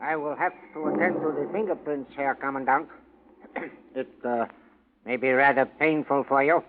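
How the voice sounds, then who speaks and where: An actor playing a scheming, deferential Nazi camp doctor, persuasive and urgent, in a 1940s radio drama. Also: male voice, mid-range voice, steady tone, moderate pace, natural voice. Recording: tinny, noisy 1940s radio recording.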